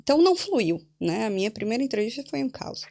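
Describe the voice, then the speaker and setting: A young Brazilian woman guest with a Brazilian Portuguese accent, telling her story in a casual podcast interview with animated, laughing asides. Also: on the high side, fast, gravelly. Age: young adult.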